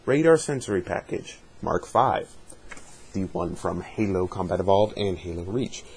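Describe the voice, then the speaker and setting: A man with a slightly husky voice doing a dramatic reading, with casual asides in between. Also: low-pitched, lively intonation, moderate pace, darkish tone, slight background noise. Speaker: reader of a fan dramatic reading.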